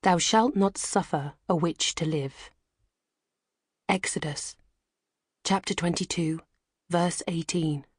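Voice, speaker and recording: A woman narrates a historical story in a solemn, measured manner. Her voice is fairly low and very breathy, recorded close and dry with little room around it. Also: dry recording. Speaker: audiobook narrator. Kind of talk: scripted dramatic audiobook narration.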